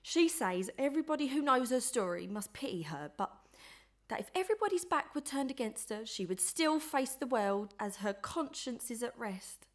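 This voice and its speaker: Woman doing gossipy maid in old melodrama, higher voice, frank and chatty. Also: sing-song, normal speed. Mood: gossipy, opinionated. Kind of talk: Victorian melodrama audio acting.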